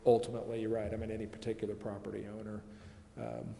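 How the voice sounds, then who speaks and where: In a reverberant chamber with faint noise, a man with a lowish voice explains matters at a public meeting. He hedges often and repeats phrases as he works toward his point. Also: completely flat delivery, quick pace, gravelly, mellow. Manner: hedging, repeats himself. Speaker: presenter advising a city committee. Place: council chamber.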